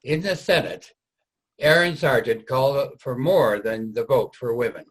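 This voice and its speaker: A man's voice: mid-range voice, a little slow, breathy edge, rough recording. A man narrating a scripted historical reader's theater piece.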